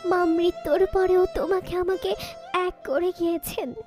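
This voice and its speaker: A woman plays a lonely young village woman in a cartoon tale. Her voice is very high, with slow, plaintive and gentle delivery over faint music. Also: steady tone. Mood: soft, sorrowful. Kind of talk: animated story voice acting.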